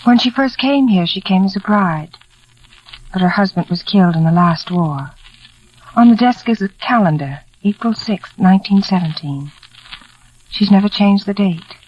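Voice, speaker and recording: An actress playing a shy, kindly young housemaid, earnest and gentle, in a 1940s radio drama. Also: female voice, medium pitch, lively intonation, moderate pace, close to an everyday voice. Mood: earnest, wistful. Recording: muffled, faintly noisy old radio recording.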